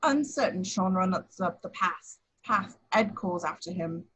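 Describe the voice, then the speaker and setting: A woman's voice: lowish voice, animated, a little slow, fairly bright tone, slight background noise, poor audio. A woman reading out scripted action descriptions as narrator at an amateur table read.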